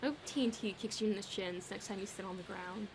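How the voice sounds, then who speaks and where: A woman's voice: mid-range voice, fairly even intonation, fast, lightly acted, rough recording. A woman voicing a playful, sarcastic non-human oddball with wry, bantering delivery in an audio drama, over background noise. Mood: wry sarcasm. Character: sardonic, teasing, playful eyeless bloodless oddball.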